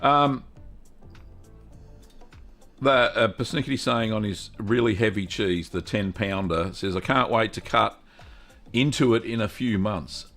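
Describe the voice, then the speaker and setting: A middle-aged man hosting a casual cheese-making livestream, chatty and upbeat, reading out viewer comments and filling pauses with ums. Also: fairly low pitch, vocal fry, a bit bright, faint music behind. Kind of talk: casual live-stream chat.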